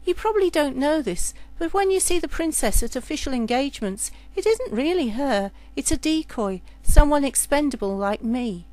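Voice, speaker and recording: A woman voicing a pleading, defiant and quick-witted young serving girl in a story. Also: high voice, expressive, darkish tone, pitched above the performer's own voice, poor audio.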